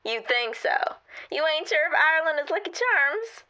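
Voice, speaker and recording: A woman's voice: sits high, big pitch swings, normal speed, bright, forceful tone, heavily acted. An actress playing a chatty, nosy and friendly receptionist who calls callers honey and girl, in a comedy sketch.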